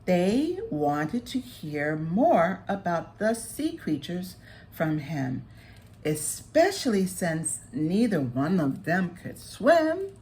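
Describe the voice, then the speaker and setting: A woman narrates a children's storybook at a slow, careful pace. Her delivery is expressive, with animated pitch movement and deliberate phrasing. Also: slight background noise. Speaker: children's author reading aloud.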